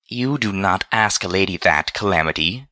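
A prim, velvety pony lady, acted out by a male narrator for a storybook. The delivery is smooth and genteel with a teasing streak, crisp and bright, recorded very dry. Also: deep voice, moderate pace, no room sound. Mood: scolding, bitter. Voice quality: smooth, silky. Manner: formal, genteel diction.

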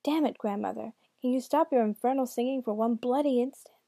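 A woman's voice: on the high side, moderate pace, creaky, mellow, dry recording, clean studio sound. A woman voicing a sullen, easily enraged male devil in a told story, grumbling and exclaiming. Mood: furious outburst.

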